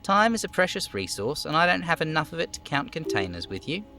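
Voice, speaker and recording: A man voicing an overweight union boss in a video game, falsely chummy, smooth and theatrically indignant. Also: on the high side, sing-song, fairly quick, music in the background, pitched above the performer's own voice. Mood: playfully evasive. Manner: smooth, theatrical, over-familiar.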